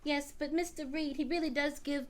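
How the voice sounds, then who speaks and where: An actress playing a sensible, wry newspaper secretary with a matter-of-fact manner in a radio drama. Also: female voice, sits high, steady tone, normal speed, lightly acted. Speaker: radio play actor.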